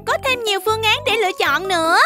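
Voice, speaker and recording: A woman's voice: extremely high pitch, fast talker, faint music behind. A woman voicing a cheerful young fox farmer who guides children in a cartoon, with exaggerated delivery. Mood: cheerful, encouraging. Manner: bright, exaggerated, addressing child viewers. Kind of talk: children's cartoon game narration and singing.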